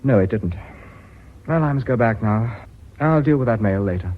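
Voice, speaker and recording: An actor playing a sardonic, well-bred company director in British radio drama, dry and ironically polite. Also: male voice, lowish voice, expressive, normal speed. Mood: wry, troubled. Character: sardonic, well-bred gentleman heading a family firm. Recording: slightly dull radio drama recording.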